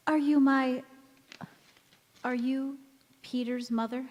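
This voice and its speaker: Actress as a slow, wistful mystery woman, echoing hall. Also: high voice, steady tone, a little mellow. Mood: wistful, polite. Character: mysterious ragged young woman, wistful, knowing, gently evasive. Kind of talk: stage radio drama acting. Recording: echoey hall with long reverb.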